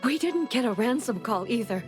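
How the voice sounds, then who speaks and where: A woman's voice: a little high, animated, moderate pace, close to an everyday voice. A woman playing a distressed, pleading middle-aged mother in a video game, earnest and apologetic. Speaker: voice actor in a game. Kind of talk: dramatic video game voice acting. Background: background music.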